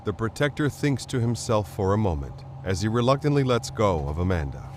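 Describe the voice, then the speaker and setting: A man's voice: on the low side, very animated, fairly quick, background noise. A man narrating a scripted drama in the dramatic style of a 1940s radio serial. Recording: music behind narration.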